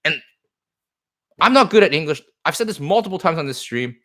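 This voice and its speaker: A man's voice: a little high, big pitch swings, fairly quick, pushed and bright. Earnest rambling man, Chinese native speaker. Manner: earnest, rambling, repeats himself. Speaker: card shop owner. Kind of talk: live stream talk answering comments.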